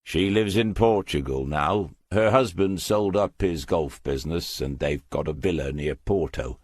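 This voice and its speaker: A man voicing an elderly, plain-spoken, guarded man in an audiobook, halting and colloquial. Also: deep voice, darkish tone, dry recording, studio quality. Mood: matter-of-fact.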